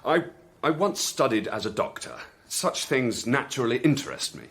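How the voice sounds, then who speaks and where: An actor playing a nervous, evasive English gentleman witness in a radio drama, hesitant and stiffly formal. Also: medium pitch, sing-song, normal speed. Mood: flustered.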